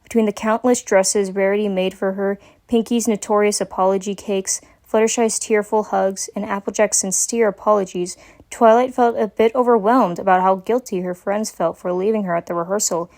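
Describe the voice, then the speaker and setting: A woman's voice: mid-range voice, steady tone, normal speed, a little mellow. A woman giving a dramatic reading of a scripted story as its narrator.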